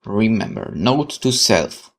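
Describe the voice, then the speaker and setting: A gaming YouTuber reads visual novel text aloud and comments on it. The delivery is expressive, with very lively intonation, breaking off to exclaim and question the story. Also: medium pitch, slow. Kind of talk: let's play commentary, reading game text aloud.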